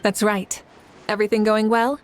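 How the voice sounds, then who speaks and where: A woman's voice: medium pitch, level tone, normal speed, lightly acted. A woman voicing a self-assured, encouraging young female leader in a video game. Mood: warm, teasing. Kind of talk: video game character acting.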